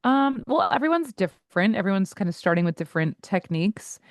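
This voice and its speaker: A woman in her forties, a voice coach and guest in an interview, who talks candidly in quick asides and laughs easily. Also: mid-range voice, lively intonation, no echo, studio quality.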